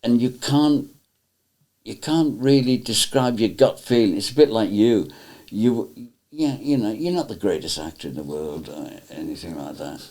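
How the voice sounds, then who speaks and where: A man speaking in an interview, teasing a colleague with warm joking remarks. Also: on the low side, creaky. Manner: slow, teasing, bursts of laughter. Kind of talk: anecdotal interview.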